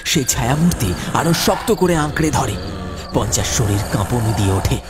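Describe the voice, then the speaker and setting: A man's voice: medium pitch, animated, moderate pace, music in the background. A man telling a scripted horror story as a dramatic narrator. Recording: clear background noise, ambience.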